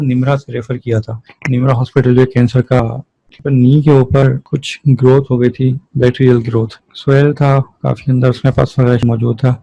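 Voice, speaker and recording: Guy teaching a class, kinda flat pitch, dry close sound, explains stuff with examples. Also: gravelly, no room sound, rough recording. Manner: didactic, asks and answers own questions, switches between Hindi-Urdu and English terms. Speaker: physiotherapy lecturer. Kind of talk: explanatory lecture with everyday case examples.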